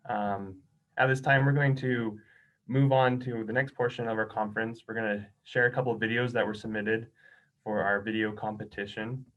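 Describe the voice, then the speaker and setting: A man hosting a conference politely thanks the speaker and announces the next segment in a plain voice. His voice sits somewhat low. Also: steady tone, normal speed, fairly bright tone, dull audio. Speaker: conference moderator.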